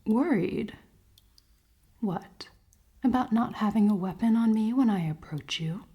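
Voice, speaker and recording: A woman playing a cold-hearted, commanding elven queen in a fantasy ASMR roleplay, soft and often whispering, with formal, teasing speech. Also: mid-range voice, a little slow, husky. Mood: puzzled, dry. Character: cold-hearted, dominant elven queen, haughty, secretly caring.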